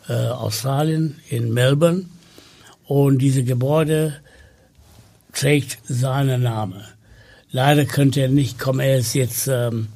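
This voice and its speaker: Slow, gravelly man. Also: mid-range voice, warm tone. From Sri Lanka. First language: Tamil. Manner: slow and hesitant with many fillers. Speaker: podcast guest. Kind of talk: conversational interview.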